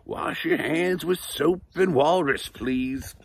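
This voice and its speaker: A man voicing a silly, cheerful woman teacher who mixes up her words, speaking slowly and sing-song. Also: on the high side, vocal fry, husky, pitched above the performer's own voice, full character voice. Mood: playful.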